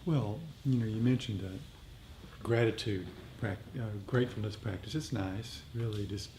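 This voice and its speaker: A man sharing casual reflections with a meditation group, halting and repeating small phrases as he goes. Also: mellow. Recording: noisy live recording with low rumble.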